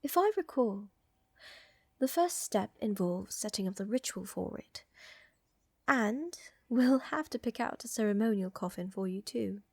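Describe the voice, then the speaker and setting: A woman acting an elegant, flirtatious and tender vampire countess in a roleplay ASMR, with soft, breathy, genteel delivery that often drops to a whisper. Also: medium pitch, lively intonation, unhurried, dry recording. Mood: playful, thoughtful.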